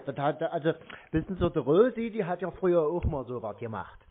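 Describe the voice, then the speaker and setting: A man's voice: on the high side, very animated, fairly quick, a little hiss or hum. A man voicing a naive, chatty, henpecked husband with a childlike love of model trains, rambling guilelessly on a prank call. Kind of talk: prank call to phone scammers. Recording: muffled narrow-band phone call.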